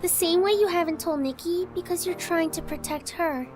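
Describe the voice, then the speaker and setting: A woman voicing a gentle, caring young woman in a visual novel game, with a sincere, consoling manner. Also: extremely high pitch, moderate pace, music in the background. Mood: tender, earnest.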